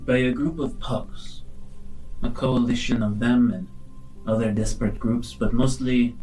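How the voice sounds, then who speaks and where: A man acts out a formal scientist doctor in a sci-fi tabletop adventure. He is earnest and measured, with a mid-pitched voice over faint music. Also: fairly even intonation, warm tone, lightly acted, low-quality recording. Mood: earnest, cautioning. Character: grateful, earnest scientist doctor of a space outfit.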